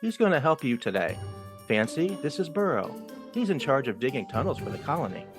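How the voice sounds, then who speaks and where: A no-nonsense male mouse mentor, acted by a man, skeptical and blunt. His mid-pitched voice moves up and down a lot, in audio drama style with music clearly underneath. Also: big pitch swings, brisk, lightly acted. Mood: instructive.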